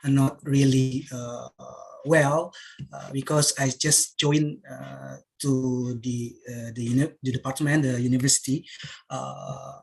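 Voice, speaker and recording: A man's voice: medium pitch, little pitch movement, creaky, a little mellow. A man from Indonesia with an Indonesian accent, giving a hesitant presentation on a video call, full of fillers and restarts. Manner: halting, many fillers and restarts. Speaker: workshop participant presenting.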